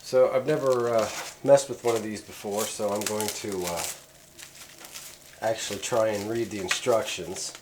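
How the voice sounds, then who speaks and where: A man's voice: fairly low pitch, moderate pace. Man in an echoey, noisy room, reviewing gear. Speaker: amateur radio hobbyist reviewing gear. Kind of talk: casual product review, partly reading aloud. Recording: roomy echo, steady background noise.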